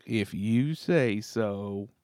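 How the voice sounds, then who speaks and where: A man voicing a naive, slow-witted, gentle male turkey in an animal story, simple and earnest. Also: fairly low pitch, no room sound, clean studio sound. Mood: bashful, earnest.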